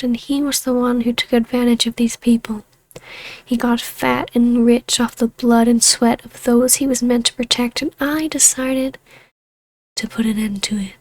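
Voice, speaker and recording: A woman voicing a taunting, imperious vampire baroness in a scripted ASMR roleplay, speaking in a measured, hushed voice that slips into whispers. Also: a little high, no room sound. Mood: indignant, proud. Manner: slow, hushed, breathy delivery slipping into whispers. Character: vampire baroness, ancient huntress turned ruler, taunting, imperious, regal.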